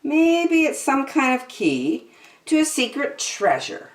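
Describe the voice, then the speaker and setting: A woman's voice: sits high, sing-song, slow pace, reverberant, voice pushed up, heavily acted. A woman voicing a playful, curious young mermaid girl in a children's storybook, exclaiming with wonder. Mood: amazed.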